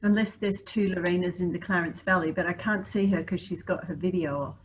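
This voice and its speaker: A woman's voice: fairly low pitch, steady tone, a little fast, poor audio. A middle-aged woman calling in on a headset from an office, speaking in a polite, easygoing way. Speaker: council community worker. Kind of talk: casual video call chat. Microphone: headset on video call.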